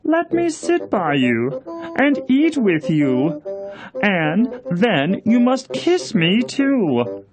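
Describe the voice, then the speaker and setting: A woman voicing an earnest, insistent male frog in a children's fairy tale, with exaggerated, deliberate delivery. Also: medium pitch, very animated, over music. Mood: bargaining.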